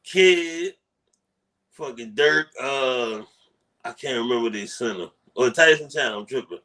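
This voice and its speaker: A man's voice: mid-range voice, lively intonation, measured pace, pushed and bright. A man co-hosting a casual sports podcast, blunt and laughing often, swearing freely. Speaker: podcast co-host. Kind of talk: casual sports debate chat.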